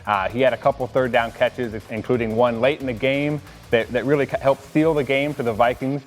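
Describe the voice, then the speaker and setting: A man's voice: mid-range voice, fairly even intonation, brisk, long reverb tail, faint music behind. An American man talking casually as a sports analyst on a team show.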